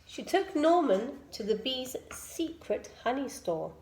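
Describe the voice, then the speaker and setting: A woman reading a children's picture book aloud in an expressive storytelling style. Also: high voice, takes it slowly, a little hiss or hum. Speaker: storybook reader. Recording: echoey room.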